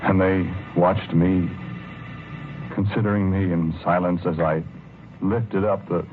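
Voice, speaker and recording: A low-voiced gentle, obsessive husband in a vintage radio play. He speaks earnestly and haltingly, repeating himself as he confesses his devotion. The old recording is thin and narrow, with music clearly behind him. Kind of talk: 1940s radio drama acting.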